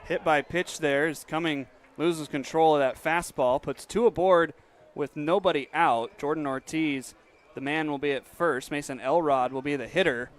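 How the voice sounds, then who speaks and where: Young man calling a baseball game. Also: on the high side. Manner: brisk game calling between relaxed questions. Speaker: play-by-play radio broadcaster and host. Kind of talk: baseball radio play-by-play mixed with interview questions. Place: stadium broadcast booth.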